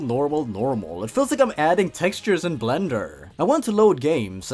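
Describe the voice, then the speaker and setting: Male host, lively swooping pitch, slightly high, theatrical and sarcastic commentary over music. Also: slightly rough. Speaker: host reading and reviewing a horror story. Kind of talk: scripted commentary and dramatic story reading.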